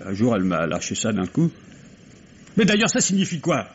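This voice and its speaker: An actor playing a defensive, emotional male nurse who answers haltingly and turns touchy, in an old radio mystery. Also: medium pitch, wildly swooping pitch. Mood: agitated, earnest. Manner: halting, emotional, flaring into indignation. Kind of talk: 1950s French radio mystery acting. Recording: dull, noisy vintage radio recording.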